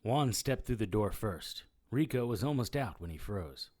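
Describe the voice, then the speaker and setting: Guy acting as a sarcastic noir detective, wisecracks a lot, very animated pitch, audio drama style. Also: moderate pace. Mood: wry, tense. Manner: wry, sarcastic. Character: wisecracking, sardonic immortal male detective. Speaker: lead character and narrator. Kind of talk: first-person noir audio drama narration and dialogue.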